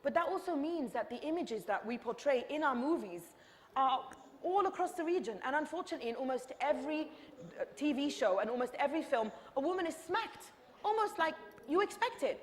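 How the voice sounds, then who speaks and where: Woman, fired up, keeps repeating herself for punch, kinda high voice, big echoey hall. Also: lively intonation, fairly quick. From Egypt. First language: Arabic. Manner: impassioned, rhetorical repetition. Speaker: author and panel speaker. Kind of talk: lecture Q&A answer. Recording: reverberant hall.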